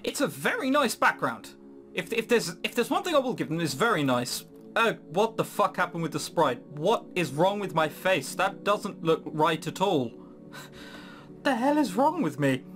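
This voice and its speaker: Animated, sardonic gaming commentator. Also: medium pitch, normal speed, soft background music. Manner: sardonic, incredulous, sweary. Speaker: gaming video host. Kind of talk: casual let's play commentary, reading game dialogue aloud.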